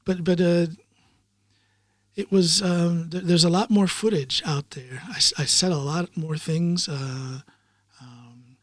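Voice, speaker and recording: A man's voice: on the high side, fairly even intonation, takes it slowly, no room sound. A man, a podcast host being interviewed, who talks in a rambling, reflective way with many ums and uhs. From United States. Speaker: podcast host in the guest chair. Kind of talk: casual interview chat.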